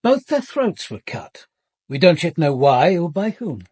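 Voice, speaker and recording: An actor with an English accent playing a composed, businesslike police commander, narrating and questioning directly in a radio drama. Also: male voice, on the high side, sing-song, a little slow, darkish tone, husky, no room sound, full character voice.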